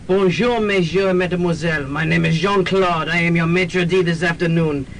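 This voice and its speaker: A man acts out a ceremonious French head waiter in a radio comedy. His accent is French and his voice somewhat high, pompous and flattering, on a faintly hissy recording. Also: normal speed, close to an everyday voice. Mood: obsequious. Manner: florid, ceremonious. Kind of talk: student radio comedy drama acting.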